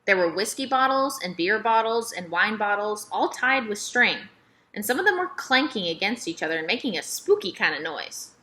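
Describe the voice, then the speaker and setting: A woman's voice: mid-range voice, sing-song, moderate pace, bright, forceful tone. A woman reading a children's novel aloud as scripted first-person narration. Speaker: storybook reader.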